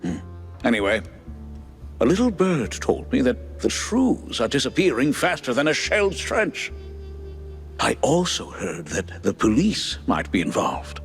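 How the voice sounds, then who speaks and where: An actor playing a nervous, polite rodent informant in a noir cartoon game, stuttering and groping for words. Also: male voice, mid-range voice, wildly swooping pitch, creaky, faint music behind, exaggerated voice. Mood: confiding, concerned. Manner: halting stutter, genteel phrasing.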